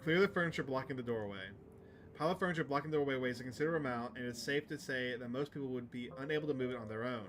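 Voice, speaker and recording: A man's voice: medium pitch, very fast, slight background noise. A man giving casual game commentary as a streamer, muttering half-thoughts and reading on-screen story text aloud. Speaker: streamer playing a visual novel.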